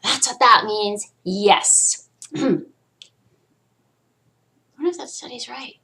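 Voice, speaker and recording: A woman's voice: fairly high pitch, very slow, bright, forceful tone. A woman hosting her own vlog, chatting casually and candidly, rambling with frequent swearing and playfully trying out different voices. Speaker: vlog host. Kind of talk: casual chat to camera.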